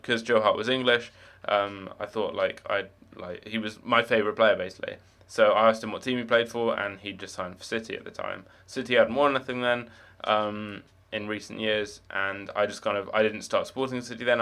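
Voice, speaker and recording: A man's voice: on the low side, fairly even intonation, a little fast, hardly any pauses, sharp tone. A young man with no marked accent, chatting casually while live-streaming a game, rambling with many fillers and restarts.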